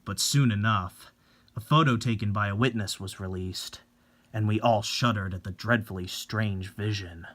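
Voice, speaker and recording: A man's voice: on the low side, moderate pace, a bit bright. A young adult man giving a dramatic narration of a horror story as an amateur reader.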